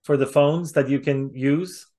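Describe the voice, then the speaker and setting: A man's voice: mid-range voice, steady tone, a little slow, mellow. A man interviewing conversationally over a video call, clarifying and asking questions.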